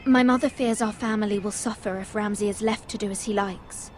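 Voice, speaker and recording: A woman's voice: mid-range voice, fairly even intonation, a little fast, slight background noise, lightly acted. An actress playing an earnest, anxious young lady-in-waiting in a video game, pleading in formal, deferential speech. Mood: apologetic. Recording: faint music behind the voice.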